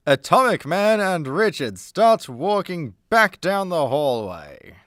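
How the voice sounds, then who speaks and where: A man's voice: a little high, big pitch swings, slow pace, fairly bright tone, no room sound, clean studio sound. A man delivering scripted narration as the narrator of a comedy radio play.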